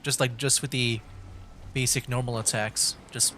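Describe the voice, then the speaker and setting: A man's voice: medium pitch, fairly even intonation, normal speed, background noise. A man giving casual livestream gameplay commentary, thinking aloud in an easygoing way as game music plays behind him. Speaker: game streamer.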